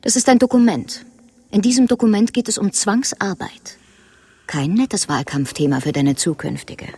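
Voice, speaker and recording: An actress playing a sardonic, combative lawyer in a radio drama, teasing and challenging in manner. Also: female voice, mid-range voice, moderate pace, warm tone, husky, dry recording.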